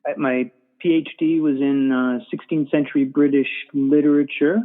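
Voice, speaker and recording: A middle-aged man, a former college professor and guest author, speaking haltingly and repeating words. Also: a little high, fairly even intonation. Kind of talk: casual interview chat. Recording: tinny phone-like line.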